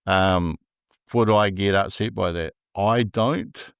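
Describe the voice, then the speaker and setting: A low, gravelly male voice with a New Zealand accent, talking slowly and deliberately with a stutter. He comes across as thoughtful and easygoing as a podcast guest. The recording is dry and close. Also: no echo. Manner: slow, deliberate speech with a stutter. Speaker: podcast guest, public speaker. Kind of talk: casual interview conversation.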